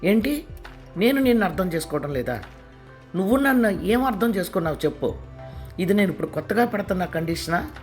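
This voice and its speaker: A man's voice: fairly high pitch, moderate pace, darkish tone, faint music behind, lightly acted. A man voicing an angry young wife in an audio story, scolding and demanding in a raised voice. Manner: scolding, heated.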